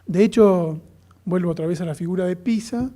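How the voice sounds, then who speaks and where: A man with an Argentine accent lectures in an academic register, his voice sitting fairly high but soft and mellow. He talks thoughtfully, often pausing with fillers and starting phrases over. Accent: Argentine Spanish. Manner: thoughtful, many fillers and restarts. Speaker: lecturer on comparative education.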